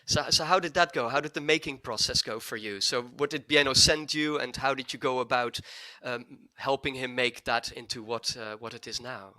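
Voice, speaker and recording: A man's voice: medium pitch, moderate pace, few pauses, pushed and bright. A man hosting an online interview, friendly, with frequent restarts. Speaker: host.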